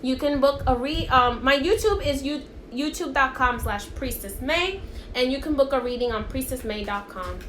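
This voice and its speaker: A woman with a high, bright-sounding voice whose first language is Spanish leads a live spiritual card reading, speaking to viewers in a chatty, encouraging way. She keeps checking in with them as she goes. The phone recording sounds roomy and echoey, with a faint hiss underneath. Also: normal speed.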